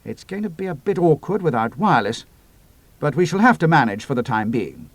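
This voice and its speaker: A man voicing a brisk, commanding male squadron leader who gives crisp, decisive orders. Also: fairly high pitch, big pitch swings, no room sound, pitched above the performer's own voice.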